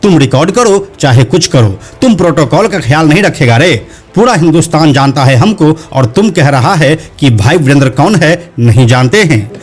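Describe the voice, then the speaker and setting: A man's voice: fairly high pitch, lively intonation, moderate pace, slightly rough. A man voicing an arrogant local politician in a narrated explainer, haughty and browbeating. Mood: threatening. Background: background music.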